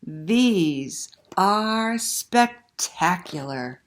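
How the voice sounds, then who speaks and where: A woman's voice: fairly low pitch, animated, very slow. A woman voicing a spirited, determined young mermaid from a picture book, emphatic and exclamatory. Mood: excited, gushing.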